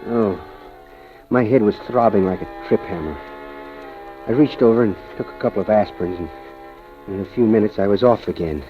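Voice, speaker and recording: An actor playing a hapless, lovesick amateur detective, wry and flustered, in 1940s radio style. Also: male voice, on the low side, fairly quick, pauses often, close to an everyday voice. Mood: wry narrating. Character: hapless, lovesick amateur detective narrating his own misadventures. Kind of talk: 1940s radio acting and narration. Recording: muffled old radio recording with music.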